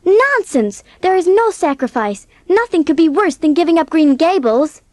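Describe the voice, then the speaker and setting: A woman playing an earnest, impulsive, warm-hearted teenage orphan girl in an audiobook drama, speaking with eager emotion. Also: very high-pitched, animated, normal speed, dry recording. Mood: resolute, reassuring.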